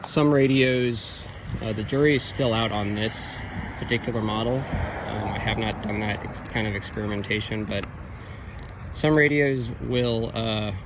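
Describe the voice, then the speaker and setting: A man giving an informal, unscripted technical review, explaining things in a halting way. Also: fairly low pitch, steady tone, noisy background. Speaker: radio equipment reviewer.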